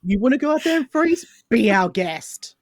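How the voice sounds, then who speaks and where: A woman's voice: a little high, very animated, moderate pace, no echo, clean studio sound. An Australian woman co-hosting a casual chat, telling anecdotes in a jokey, exaggerating way with acted-out quotes. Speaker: podcast co-host.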